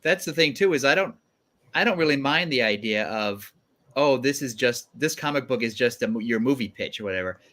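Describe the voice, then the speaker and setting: A man's voice: mid-range voice, expressive, fairly quick, fairly bright tone. A man hosting a casual livestream panel conversation among several guests. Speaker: guest host of a livestream show.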